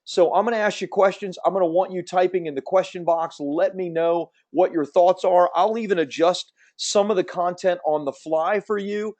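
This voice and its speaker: A man in his fifties with a slight Southern American accent, hosting a promotional webinar and talking quickly in an upbeat, salesy way. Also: high-pitched, fairly even intonation, a little mellow. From Central Florida. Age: 50s. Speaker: webinar host. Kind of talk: fast promotional webinar presentation.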